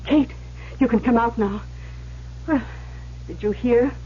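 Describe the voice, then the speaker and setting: A woman's voice: on the high side, unhurried, warm tone, close to an everyday voice. An actress playing an anxious, conciliatory middle-aged mother and farm wife in an old radio drama, fretful and soothing. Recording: muffled, faintly noisy vintage radio recording.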